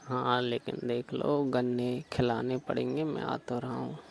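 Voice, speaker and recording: A man's voice: mid-range voice, level tone, measured pace, creaky, slight background noise. A man on a recorded phone call, insistent and suggestive, pressing with question after question. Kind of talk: staged flirtatious phone call.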